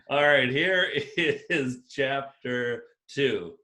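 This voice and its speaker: Brief: upbeat man with a bright voice who runs improv games and laughs a lot, heard over a video call. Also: mid-range voice, very slow. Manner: laughs often while talking. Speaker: improv game leader. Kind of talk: casual comedy banter.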